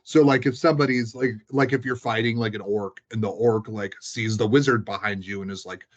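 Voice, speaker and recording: A man's voice: lowish voice, fairly even intonation, brisk, muffled recording. A man chatting casually and informally, swearing now and then and explaining things in detail. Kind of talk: casual chat.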